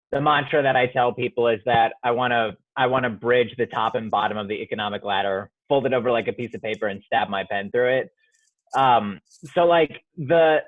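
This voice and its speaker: A man's voice: mid-range voice, no room sound. A man, a playwright, answering interview questions in a reflective way, with many fillers and restarts, over a remote call. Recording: tinny phone-like line, dry.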